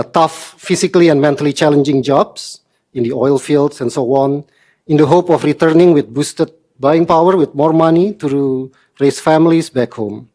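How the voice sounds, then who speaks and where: Man from indonesia, forties, earnest measured delivery, formal public statement, mid-pitched voice. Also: darkish tone. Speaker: public speaker at council meeting.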